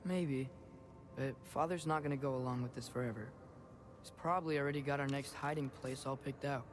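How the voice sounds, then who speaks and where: A man's voice: mid-range voice, steady tone, fairly quick, background noise, close to an everyday voice. An actor playing an earnest, headstrong teenage boy adventurer in a video game cutscene. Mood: discouraged.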